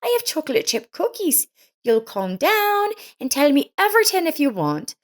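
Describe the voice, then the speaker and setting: Kindly, insistent neighbor woman, played by a female narrator, very high pitched, swooping, emphatic storybook character. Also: big pitch swings, moderate pace. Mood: kind, soothing. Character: kind, insistent woman neighbor, concerned and chatty.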